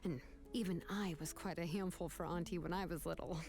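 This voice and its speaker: A woman's voice: on the low side, steady tone, fairly quick, a little mellow, lightly acted. A woman playing an easygoing, kind and thoughtful young woman in an anime game, reassuring and considerate. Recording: faint music behind the voice.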